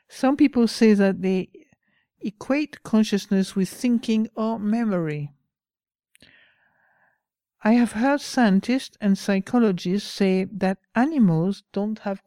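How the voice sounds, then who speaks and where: A woman with a French accent giving a slow, pausing dharma talk as a meditation teacher. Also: lowish voice, dark tone, no echo, clean studio sound.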